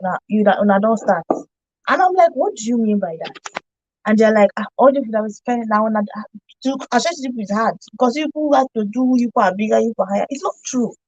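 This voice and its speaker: A woman's voice: a little high, animated, fast talker, darkish tone, no echo, dull audio. A woman with a Nigerian accent chatting casually as a panelist in a radio studio discussion.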